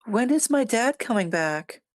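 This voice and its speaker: Woman acting small determined boy, homesick, earnest, higher-pitched, dry recording, screenplay table read. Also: animated, measured pace, no room sound. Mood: serious.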